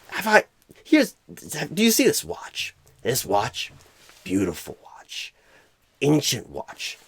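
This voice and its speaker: A man playing a clueless, flustered job recruiter in an ASMR comedy roleplay, stammering and repeating himself in a husky, halting voice. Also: medium pitch, heavily acted. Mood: rambling, earnest. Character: flustered, clueless, absent-minded male job recruiter, apologetic and rambling. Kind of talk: ASMR comedy roleplay, celebrity-style impression.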